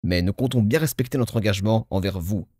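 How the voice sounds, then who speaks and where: A man playing a pompous low-voiced game narrator. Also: mellow, no room sound, clean studio sound, close to an everyday voice. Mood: reassuring, self-assured. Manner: formal, measured, grandiloquent. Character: pompous, smooth-talking male game narrator, self-satisfied. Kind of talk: theatrical scripted game narration in French fan dub.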